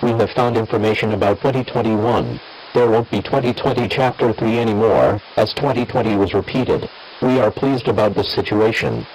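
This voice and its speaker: A low-voiced man delivers a scripted emergency bulletin. His reading is formal and monotone, with a synthetic sound. Faint hiss runs behind him, as on a radio broadcast. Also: moderate pace, low-quality recording. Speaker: emergency alert announcer.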